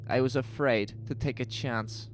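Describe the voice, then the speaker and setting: A man's voice: mid-range voice, fairly even intonation, normal speed, voice pushed up, close to an everyday voice. A man playing a frightened, agitated survivor in a horror audio drama, speaking with urgent emphasis. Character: frightened, agitated man who escaped a takeover. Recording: music behind the voice.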